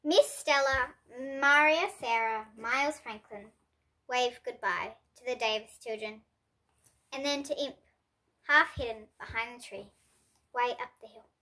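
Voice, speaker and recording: A young reader, presented as a child, reading a children's picture book aloud with expressive delivery and lightly voiced characters. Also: female voice, a little high, unhurried, low-quality recording. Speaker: storybook reader.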